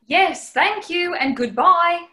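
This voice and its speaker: Woman acting sceptical British housemaid, practical, bright piercing voice, 1940s radio comedy, echoey room. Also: extremely high pitch, expressive, full character voice. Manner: indignant, brisk, practical. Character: plucky no-nonsense British housemaid, sceptical and blunt.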